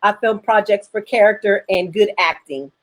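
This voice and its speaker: A woman's voice: mid-range voice, moderate pace, a bit bright. A woman hosting a casual online panel chat, speaking frankly and jokingly as a filmmaker. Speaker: host, filmmaker.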